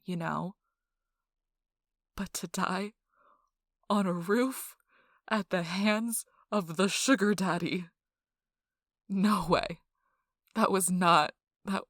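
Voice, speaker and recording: A woman playing an excitable, nerdy young girlfriend who rambles casually about her tabletop game in an animated ASMR role-play. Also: on the low side, no echo, clean studio sound. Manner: animated, breathless emphasis, frequent "like" asides.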